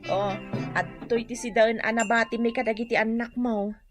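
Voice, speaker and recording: A woman's voice: a little high, fairly even intonation, moderate pace, faint music behind, lightly acted. An actress playing a poor, long-suffering village wife and mother in an Ilocano radio comedy drama, plaintive and sighing yet fond. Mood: coaxing.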